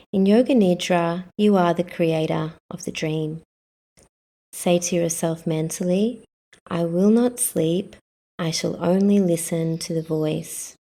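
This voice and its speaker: A woman guiding a yoga nidra meditation as the instructor, speaking calmly in short, measured instructions. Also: lowish voice. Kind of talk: guided meditation.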